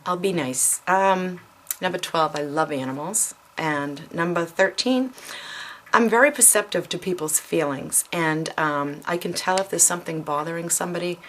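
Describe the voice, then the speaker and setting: A woman's voice: fairly low pitch, moderate pace, fairly bright tone. A woman in her fifties chatting casually to camera, with frequent ums and self-corrections. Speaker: vlogger.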